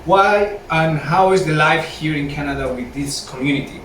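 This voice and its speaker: A man's voice: on the high side, normal speed, fairly bright tone, a little hiss or hum. A man co-hosting a casual interview, introducing the guest in an upbeat way with simple phrasing. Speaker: co-host. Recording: echoey room, voice from far off.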